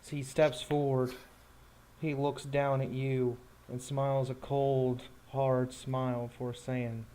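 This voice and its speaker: Male game master, mid-pitched, unhurried and halting, describing scenes off the cuff. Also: little pitch movement, warm tone, a little hiss or hum, low-quality recording. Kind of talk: improvised narration of a tabletop roleplaying game.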